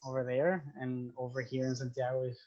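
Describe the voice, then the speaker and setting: A man's voice: mid-range voice, level tone, brisk, a little mellow, muffled recording. A young man from Venezuela with a Venezuelan Spanish accent, a guest in a casual interview, who speaks English as a second language and often restarts phrases while searching for words.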